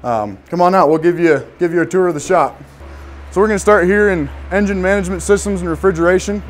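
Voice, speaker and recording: A young man from northern Colorado, a student casually showing visitors around a large diesel workshop, pausing often with ums and restarts. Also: high voice, faint music behind. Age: young adult. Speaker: student giving a shop tour. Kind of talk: walking tour guide talk.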